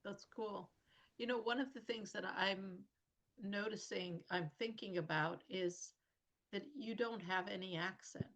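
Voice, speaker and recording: A woman's voice: medium pitch, measured pace, a bit bright, dull audio. A woman hosting a podcast interview, asking musing questions and offering short encouraging affirmations. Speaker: podcast host. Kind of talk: conversational interview.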